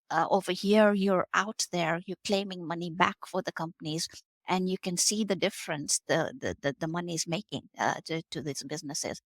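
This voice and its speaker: A woman's voice: on the low side, no echo. A woman in her forties from Kenya, a guest on a podcast interview, talking in a hesitant way with many fillers and restarts. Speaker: guest, R&D tax specialist.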